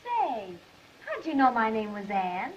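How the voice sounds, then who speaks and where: A woman's voice: a little high, huge pitch swings, unhurried. An actress playing a plucky, proud young woman down on her luck, direct and self-possessed, in a 1930s film. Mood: curious, amused. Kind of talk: 1930s film comedy-drama acting. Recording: noisy, muffled 1930s film soundtrack.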